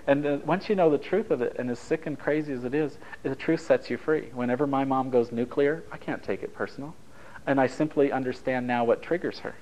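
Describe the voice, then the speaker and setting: A man's voice: mid-range voice, brisk, a little mellow, highs cut off, slight background noise. A man from Italy whose first language is Japanese, leading a taped relationship workshop in a conversational, anecdotal way, joking with the group. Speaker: workshop leader.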